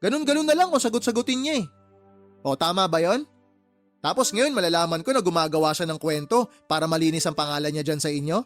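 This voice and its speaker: Guy acting fed up uncle in radio drama, high voice, scolding, music behind. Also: expressive, quick pace, lightly acted. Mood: angry, accusing. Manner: scolding, emphatic rhetorical questions. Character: exasperated, indignant adult uncle confronting his older sister.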